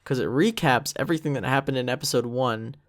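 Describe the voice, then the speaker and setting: A man's voice: mid-range voice, quick pace. A man giving a casual, rambling commentary track as creator of the series, thinking aloud with music behind him.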